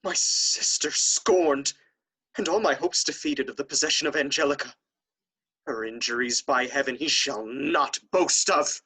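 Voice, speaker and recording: A man's voice: medium pitch, unhurried, bright, forceful tone, husky, heavily acted, low-quality recording. A man playing a proud, jealous young nobleman in a period audio drama, with formal, dramatic archaic speech. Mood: indignant.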